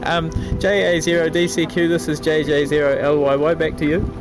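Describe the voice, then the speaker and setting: A man chatting enthusiastically and a little haltingly as a ham radio operator, speaking out on a balcony. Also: a little high, level tone, over music, poor audio. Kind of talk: casual radio chat. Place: outdoors on a cold balcony.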